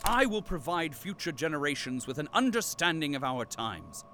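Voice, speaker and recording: A man's voice: on the high side, big pitch swings, moderate pace, background noise. A man playing a pompous captive archivist and company loyalist in an audio drama, with formal, grandiose diction. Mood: solemn, fervent.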